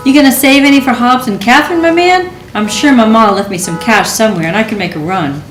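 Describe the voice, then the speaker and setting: A woman's voice: on the high side, quick pace, soft background music, natural voice. A woman reading the part of a jovial, effusive 1950s man welcoming friends in a stage play table read. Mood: joking. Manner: effusive, showy greeting. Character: jovial, welcoming cigar-chomping 1950s male host. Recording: echoey room, faint noise.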